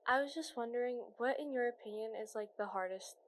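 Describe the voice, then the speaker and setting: Casting: audience woman, soft and tentative, faint background hum. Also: a little high, brisk. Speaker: audience member.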